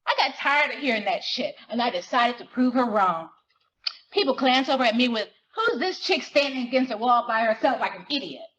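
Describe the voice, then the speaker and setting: Woman acting a high, animated, wry teenage girl. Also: brisk, dull audio. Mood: wry, self-conscious. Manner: slangy, expressive, conversational storytelling. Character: self-conscious, wry sixteen-year-old girl narrating her life. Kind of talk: dramatic reading of a young adult novel.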